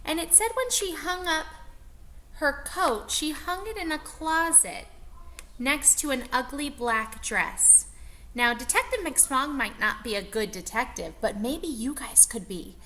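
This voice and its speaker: A woman reading aloud to young children as a teacher, expressively, pausing to explain and ask them questions. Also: sits high, animated, bright, forceful tone.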